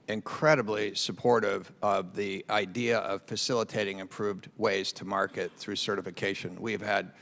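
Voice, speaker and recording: A man giving formal testimony as an aviation industry witness, in measured, articulate phrases. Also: fairly low pitch, gravelly, fairly bright tone. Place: large indoor committee hearing room. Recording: reverberant hearing room.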